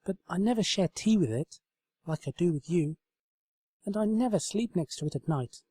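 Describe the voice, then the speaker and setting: Man putting on a high, gushing girl unicorn. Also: animated, normal speed, warm tone, breathy edge. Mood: gushing, fond. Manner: gushing, sincere, flustered. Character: earnest, kind-hearted young female unicorn pony. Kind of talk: comedic storybook reading.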